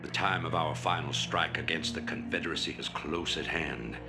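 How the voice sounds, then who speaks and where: Very deep, commanding rebel leader. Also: male voice, steady tone, vocal fry. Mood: solemn. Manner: measured, oratorical, authoritative. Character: commanding male rebel leader, grave, resolute, unyielding. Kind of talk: dramatic video game voice acting. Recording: music behind the voice.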